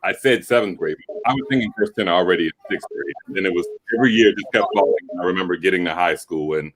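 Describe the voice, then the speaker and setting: Deep-voiced man, matter-of-fact. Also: quick pace, low-quality recording. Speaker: opera singer, bass. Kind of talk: casual panel chat.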